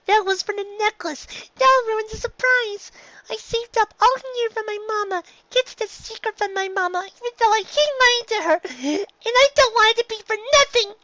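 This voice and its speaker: Squeaky, very high little filly. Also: female voice, normal speed, sharp tone, dry recording, muffled recording. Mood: tearful pleading. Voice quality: squeaky childlike voice. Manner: stammering, pleading. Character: tearful little filly pony, young girl, defiant and earnest.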